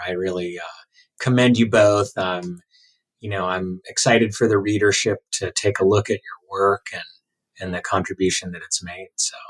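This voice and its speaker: A man's voice: deep voice, low-quality recording. A man hosting a podcast, interviewing guests in a conversational way. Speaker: podcast host interviewing doctors.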